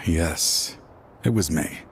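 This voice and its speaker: Game role: aging ex-investigator, deep voice, slow delivery, weary and full of regret. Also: male voice, a little hiss or hum, full character voice. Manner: world-weary, sighing, confessional. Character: aging, remorseful, weary former investigator, a grieving father. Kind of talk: video game voice acting.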